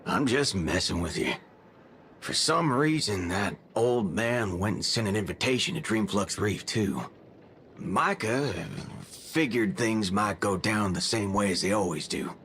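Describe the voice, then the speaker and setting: A man voicing a cocky, playful cowboy gunslinger in a video game, talking in folksy slang with softened swear words. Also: on the low side, lively intonation, unhurried, slight background noise. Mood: casual, wry. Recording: game dialogue over music.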